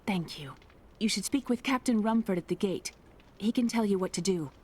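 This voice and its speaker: A woman's voice: medium pitch, expressive, normal speed, darkish tone, lightly acted. A woman playing an earnest, determined young survivor in a fantasy game, speaking with urgency. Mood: determined, grave. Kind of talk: fantasy game character acting. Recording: music behind the voice.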